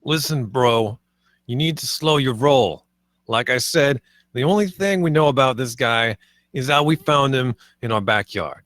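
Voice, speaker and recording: A man's voice: mid-range voice, unhurried, fairly bright tone, dry recording, studio quality, close to an everyday voice. A man playing a casual, slangy, self-assured slacker bro in a comedy table read. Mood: defensive. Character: cocky, laid-back slacker bro pool cleaner.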